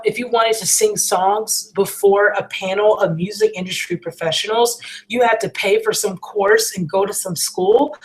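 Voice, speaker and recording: An American woman with a slightly scratchy voice talks casually and earnestly to a livestream audience, encouraging them with informal, emphatic repetition. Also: mid-range voice. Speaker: musician hosting a livestream question and answer session. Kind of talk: casual encouraging chat, reading out viewer questions.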